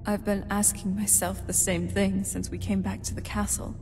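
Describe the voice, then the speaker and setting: A woman's voice: mid-range voice, level tone, normal speed, music in the background, lightly acted. Actress as an earnest vampire noblewoman, echoing hall. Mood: wistful. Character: female vampire noblewoman, thoughtful, earnest, guarded. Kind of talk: scripted fantasy game dialogue. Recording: reverberant stone hall, background noise.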